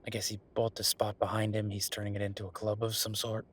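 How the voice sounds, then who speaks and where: A man's voice: fairly low pitch, monotone, fast, fairly bright tone, a little hiss or hum, natural voice. A man playing an affectionate, teasing werewolf bureaucrat in an intimate romantic audio roleplay, speaking conversationally with murmured asides. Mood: wry, confiding. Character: Viktor, affectionate teasing male werewolf bureaucrat, weary but playful.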